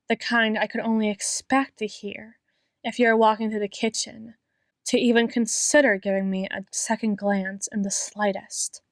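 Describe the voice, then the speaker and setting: A woman playing an obsessive, menacingly tender doctor in an ASMR roleplay, speaking in a deliberate, theatrical monologue with drawn-out words. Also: medium pitch, no echo, clean studio sound, natural voice. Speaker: audio roleplay performer.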